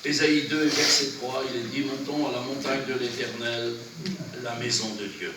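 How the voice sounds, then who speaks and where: Preacher with a cold in an echoey church. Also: male voice, medium pitch, steady tone. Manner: earnest, exhorting, pausing between short phrases. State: has a cold, runny nose. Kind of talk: sermon. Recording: very echoey church, from far off, noisy.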